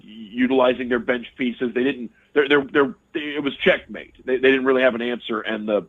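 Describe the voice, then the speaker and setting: A man, a radio sports broadcaster, giving casual analysis as a guest, talking quickly and repeating words as he thinks. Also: mid-range voice, fairly even intonation, slightly rough. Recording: tinny phone line.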